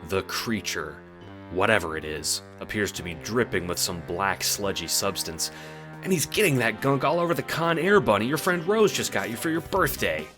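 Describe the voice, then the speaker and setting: A man reading second-person audio drama narration in an animated storytelling manner, with casual swearing. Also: on the low side, moderate pace, over music.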